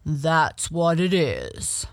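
A big shaggy dancing bear from a storybook, voiced by a woman. He speaks out loudly and slowly, with hearty, kindly emphasis. The sound is close and dry. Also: sits low, huge pitch swings, creaky, no room sound, full character voice.

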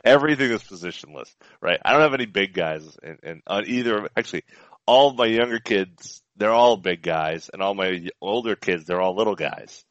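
A man's voice: lowish voice, lively intonation, brisk, no room sound. A man with an American accent chatting casually as a sports podcast co-host in an easygoing, conversational way, often repeating his phrases, on dull audio. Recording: dull, band-limited audio.

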